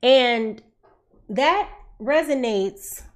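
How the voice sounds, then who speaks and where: A woman's voice: on the high side, lively intonation, very slow. Stuffy-nosed woman, sick, chatting to her stream viewers, desk mic at home. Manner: chatty, confiding, calls out to viewers. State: congested from a cold. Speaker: tarot reader hosting a livestream. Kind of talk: casual livestream chat. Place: home room indoors. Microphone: desk microphone in front of her.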